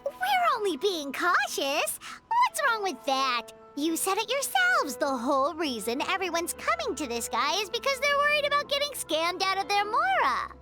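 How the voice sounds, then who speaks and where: A woman's voice: huge pitch swings, moderate pace, hardly any pauses, loud music behind the voice, exaggerated voice. A woman voicing a small, chirpy, blunt childlike fairy companion in an anime video game. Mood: suspicious. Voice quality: squeaky, childlike. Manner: speaks of self in third person.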